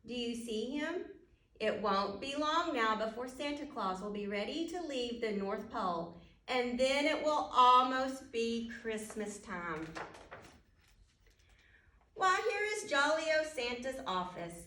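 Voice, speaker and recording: A woman's voice: fairly high pitch, animated, takes it slowly, bright, forceful tone. A woman reading a children's storybook aloud at storytime, speaking directly to young listeners and putting questions to them. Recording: roomy echo, distant microphone.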